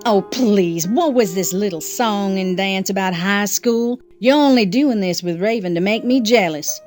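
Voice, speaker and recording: A woman's voice: mid-range voice, a little slow, darkish tone, natural voice. An actress plays a disdainful rich woman in a soap drama. Her mid-range voice is dry and sarcastic, proud and cutting. Faint music sits behind it. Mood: scornful. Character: caustic, disdainful wealthy sister, jealous and proud. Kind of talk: audio soap drama acting.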